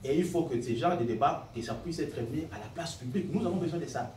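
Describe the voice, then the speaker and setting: A man's voice: fairly high pitch, fast, a little mellow. A man making an earnest, argumentative impromptu speech from the floor of a political meeting. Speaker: party member speaking from the floor. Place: meeting room, indoors. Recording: roomy, distant mic, noisy hall.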